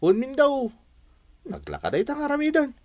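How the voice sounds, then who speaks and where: A man's voice: extremely high pitch, wildly swooping pitch, moderate pace, heavily acted. A man with an Ilocano accent playing a brash, defensive office messenger and husband in a Philippine radio drama, needling and argumentative. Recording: music under the voice.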